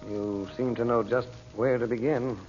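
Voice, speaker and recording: An actor playing a resolute, reassuring magician hero in an old radio drama, earnest and decisive. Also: male voice, mid-range voice, fairly even intonation, moderate pace, a little mellow, natural voice. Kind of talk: 1940s radio drama acting. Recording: muffled old radio recording, faint noise.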